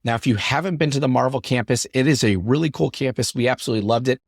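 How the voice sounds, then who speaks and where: A middle-aged man hosting his podcast in an upbeat, chatty way, his voice deepened by a lingering cough after an illness. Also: fast, gravelly, no echo, studio quality. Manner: upbeat, chatty, repetitive phrasing. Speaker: podcast host. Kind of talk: solo podcast talk.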